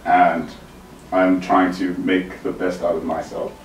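A young man with a deep, low voice talks in a relaxed, chatty way, peppering his points with easy fillers. He sounds a little distant from the mic in a roomy living room. A faint hiss sits under the voice. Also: a little slow. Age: young adult. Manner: relaxed, chatty, leans on "you know" and "right". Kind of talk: casual chat. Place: living room with bookshelf, indoors.

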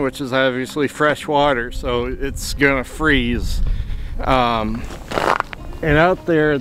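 A man's voice: medium pitch, fairly bright tone. A man in his fifties, a landscape photographer, chatting casually on a frozen pier with lots of fillers and restarts. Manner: rambling, many fillers and restarts. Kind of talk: casual vlog narration on location. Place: outdoors on a frozen pier by a lake. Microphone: camera on a handheld rig, close framing. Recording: outdoor wind noise.

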